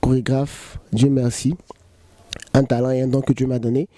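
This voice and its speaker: A man in his thirties, a choreographer, answering interview questions in a relaxed, joking way and saying "voilà" often. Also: medium pitch, moderate pace, no echo. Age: 30s.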